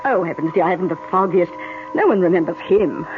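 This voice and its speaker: A woman's voice: on the low side, fast, natural voice. An actress playing a worldly, upper-class British lady in a radio drama, telling stories with arch, breezy understatement. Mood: wry, gossipy. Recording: tinny narrowband radio drama, faint music.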